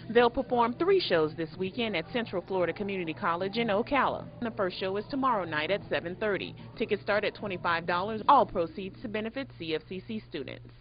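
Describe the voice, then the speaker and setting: A woman's voice: animated, quick pace, few pauses. A woman working as a TV news reporter, reading scripted news narration. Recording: dull, muffled low-fidelity audio.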